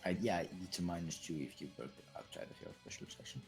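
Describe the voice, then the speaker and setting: A man with an extremely deep voice chats casually as a player in a tabletop game. He makes blunt, dry remarks, and faint music plays behind him. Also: expressive, fast talker, creaky.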